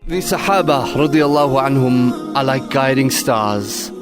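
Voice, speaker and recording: A man's voice: medium pitch, unhurried, over music. A man giving solemn, scripted religious narration as a narrator.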